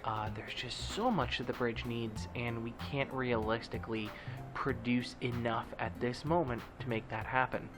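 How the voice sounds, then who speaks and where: A man's voice: mid-range voice, steady tone, normal speed, over music. Stuffy-nosed man narrating his gameplay. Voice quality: stuffy, nasal. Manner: chatty, joking, with many fillers. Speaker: let's play host. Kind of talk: casual gameplay commentary.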